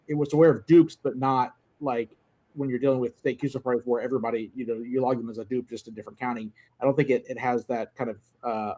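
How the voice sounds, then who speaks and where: A man, an amateur radio operator, talking tech in a casual chat and often pausing to search for words. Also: medium pitch, a little mellow, low-quality recording.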